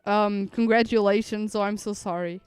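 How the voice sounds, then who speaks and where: A woman's voice: mid-range voice, steady tone, moderate pace, darkish tone, clean tone, no echo, faint music behind. A young woman streaming her reaction to a game, chatty, giggly and playful. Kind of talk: casual reaction commentary.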